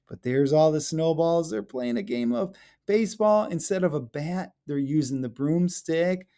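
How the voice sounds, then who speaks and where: A man reading a picture book aloud to young children in an animated, playful way, with exaggerated questions and exclamations addressed straight to them. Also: fairly high pitch, vocal fry. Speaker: elementary school educator reading aloud.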